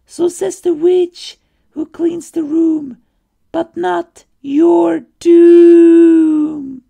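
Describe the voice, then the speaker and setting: A performer voicing a mischievous witch with a very high, croaky voice, chanting in sing-song rhymes. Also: level tone, drawn-out words, warm tone, heavily acted. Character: cackling rhyming witch, mischievous.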